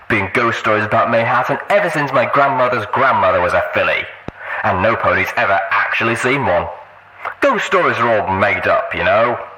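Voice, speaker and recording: A man voicing a showy pony radio DJ who proclaims to faithful listeners in drawn-out phrases, in an audiobook. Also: on the low side, sharp tone, slight background noise, poor audio.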